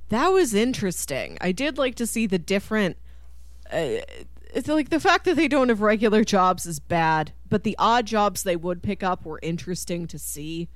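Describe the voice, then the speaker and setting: A woman chatting casually about film as a podcast co-host, with an animated, enthusiastic and giggly manner. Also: mid-range voice, moderate pace, no echo. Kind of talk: casual chat.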